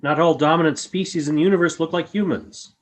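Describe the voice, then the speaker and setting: A calm alien creature from a radio play, acted by a man. The voice sits a little high and speaks formally, with grave, measured phrasing. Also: natural voice. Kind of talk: fan radio play acting.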